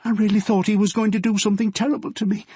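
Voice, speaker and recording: A man putting on a frightened teenage girl whose voice rides well above his own, shaky and pleading. The tone stays husky and close, with a dry, deadened sound and no room around it. It suits an audiobook scene of a girl confiding in her father. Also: fairly high pitch, animated, moderate pace, darkish tone, no echo, voice pushed up. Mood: frightened, tearful. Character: frightened tearful teenage girl, a policeman's daughter.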